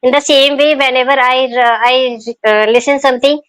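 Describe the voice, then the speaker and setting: Indian woman, podcast host, halting and full of fillers, laughs often, slightly higher voice. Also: fairly even intonation, fairly bright tone, dry recording. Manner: halting, with many fillers and restarts. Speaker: podcast host, English teacher. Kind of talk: casual conversational podcast.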